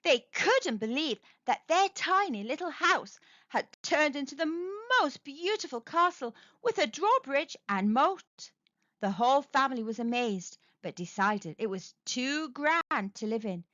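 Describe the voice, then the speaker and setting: A woman gently and expressively reading a children's storybook aloud. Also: high voice, very animated, a little slow, dry recording, lo-fi sound. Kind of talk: children's read-aloud narration.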